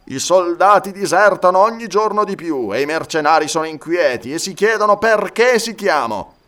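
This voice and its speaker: A brash, swaggering mercenary commander, played by a man reading a fantasy story, pitched high. He is big, cynical and blunt, and pushes his words out forcefully. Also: animated, normal speed, recorded from a distance. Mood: urgent.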